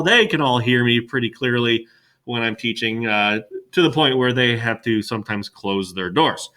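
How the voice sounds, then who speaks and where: A middle-aged male teacher with a loud, boisterous classroom voice, talking casually and rambling with fillers, recorded on a webcam in an empty classroom. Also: mid-range voice. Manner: rambling, chatty with many fillers. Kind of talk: casual classroom welcome talk.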